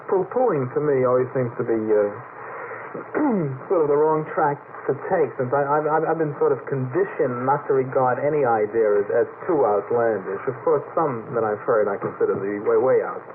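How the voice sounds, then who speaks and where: A noisy, band-limited old radio recording carries a mid-pitched man on a discussion panel. He talks at length in a halting, wordy way, doubling back on words as he goes. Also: narrow, tinny audio. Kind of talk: unscripted panel discussion with anecdotes. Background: clear noise.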